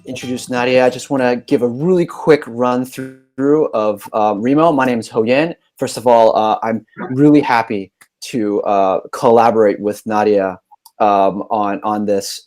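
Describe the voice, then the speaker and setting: A man's voice: mid-range voice, normal speed, darkish tone. Guy on a video call explaining an app, casual, lots of ums. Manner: casual, filler-heavy. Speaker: platform representative. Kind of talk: quick walkthrough.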